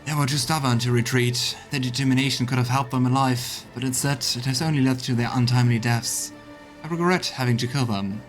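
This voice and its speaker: A man voicing a solemn, wise gold dragon in healer guise from a fantasy game, with formal, measured speech. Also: mid-range voice, fairly even intonation, close to an everyday voice. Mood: solemn, regretful. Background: game music.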